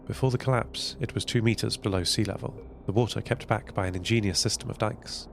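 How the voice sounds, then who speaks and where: A man with a creaky voice playing a thoughtful, gentle artificial intelligence, narrating an audio drama in a measured, reflective way. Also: on the low side, steady tone, background noise. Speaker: narrator of an audio fiction podcast. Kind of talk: first-person scripted audio drama narration.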